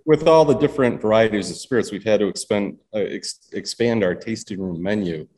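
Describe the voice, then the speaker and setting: A man who co-owns a distillery, speaking haltingly with many ums in a casual interview. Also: lowish voice, a little mellow, rough recording. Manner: halting, full of ums and uhs. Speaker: distillery co-owner. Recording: faint background noise.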